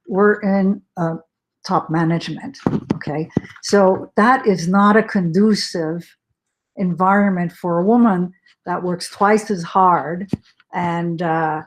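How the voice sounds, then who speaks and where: A woman's voice: mid-range voice, darkish tone. Swiss woman on a video call, middle-aged, lots of uhs, giving career advice. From Switzerland. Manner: hesitant, many fillers, earnest advice. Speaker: panelist. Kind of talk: webinar panel talk.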